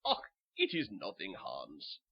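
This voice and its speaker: A man's voice: low voice, huge pitch swings, slow, breathy edge, dull audio, pitched below the performer's own voice, full character voice. A man playing a hearty, coaxing German butcher with a German accent in a horror story. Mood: genial. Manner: genial, coaxing.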